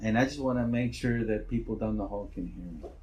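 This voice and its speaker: A middle-aged man with a naturally loud voice, a school principal answering interview questions in a relaxed, rambling way. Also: lowish voice, a little fast.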